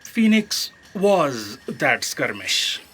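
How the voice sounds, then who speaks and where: A deferential, fact-correcting aide in a sci-fi audio comedy, voiced by an actor. The voice is bright and very lively in pitch. It comes across hesitant, earnest and literal. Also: extremely slow, husky, heavily acted. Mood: dutiful, hesitant.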